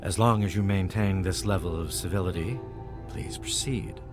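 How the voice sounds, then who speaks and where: A man voicing a formal, solemn leader of a vampire clan in a video game, speaking in measured, courteous phrases. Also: low voice, close to an everyday voice. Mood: guarded, civil. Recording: music playing behind the voice.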